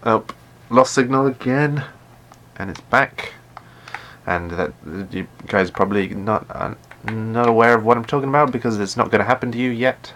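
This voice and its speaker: A man with a deep voice chatting casually while playing a game live, often stumbling and repeating words. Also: moderate pace, a bit bright, a little hiss or hum. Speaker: gaming live streamer.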